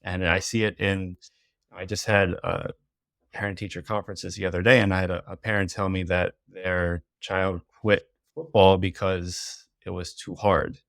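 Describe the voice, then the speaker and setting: Very deep, gravelly-voiced teacher. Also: male voice, level tone, normal speed, a bit bright, no room sound. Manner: hesitant, repeats words, many "you know"s. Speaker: teacher, podcast guest. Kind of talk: casual podcast conversation.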